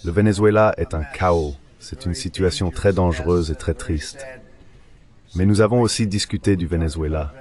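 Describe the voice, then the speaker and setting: A man's voice: low voice, normal speed, darkish tone. A man giving spoken public remarks in short, blunt, repetitive sentences.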